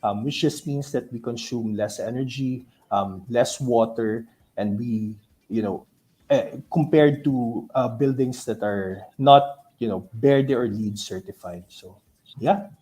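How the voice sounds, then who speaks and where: A man with a Filipino accent giving a business presentation to investors in a halting way, often tagging phrases with "no?". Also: mid-range voice, warm tone. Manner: halting, ends phrases with a tag "no?". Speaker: company investor relations presenter. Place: virtual call.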